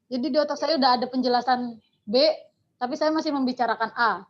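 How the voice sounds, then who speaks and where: Woman, javanese accent, high voice, rambling audience question, dull lo-fi recording. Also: fast. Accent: Javanese Indonesian. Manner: rambling, mixes Javanese words. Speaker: audience member asking a question. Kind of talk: casual question. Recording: dull lo-fi sound from off-mic.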